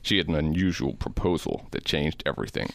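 A man playing a world-weary private eye, narrating a film noir radio play in a deadpan, hardboiled way. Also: very deep voice, a little fast, creaky, over loud music, exaggerated voice.

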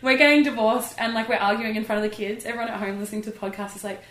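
A woman's voice: fairly high pitch, fairly even intonation, racing, a bit bright. A young woman co-hosting a podcast, chatting casually with playful teasing and frequent giggles. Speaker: podcast co-host. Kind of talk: casual comedic chat. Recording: echoey room.

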